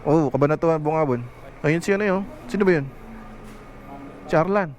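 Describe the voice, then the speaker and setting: A man's voice: on the high side, normal speed, darkish tone. A Filipino man giving casual, chatty motovlog commentary, mixing English phrases into his talk. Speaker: motorcycle vlogger. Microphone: helmet intercom headset. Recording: clearly noisy outdoor intercom audio.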